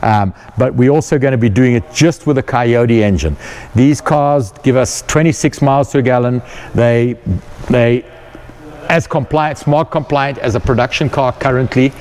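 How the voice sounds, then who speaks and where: A man's voice: medium pitch, normal speed, a little mellow. South African man touring his workshop. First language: not English. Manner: enthusiastic, repeats words while searching for them. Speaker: company founder giving a shop tour. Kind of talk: casual guided tour talk. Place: indoor car workshop.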